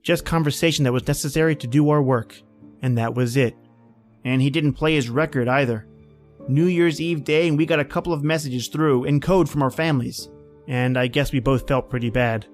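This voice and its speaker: A man's voice: mid-range voice, brisk, faint music behind, lightly acted. An actor playing an edgy, short-tempered man at a remote outpost in an old-time radio drama, with terse, reflective delivery. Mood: somber, reflective. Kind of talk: old-time radio drama acting and first-person narration.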